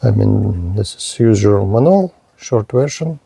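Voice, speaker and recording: Slow, careful man demoing a radio. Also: on the low side, expressive, gravelly, warm tone, slightly rough, no room sound. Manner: halting, careful, with pauses and fillers. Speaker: radio reviewer. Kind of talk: slow hands-on product demo narration.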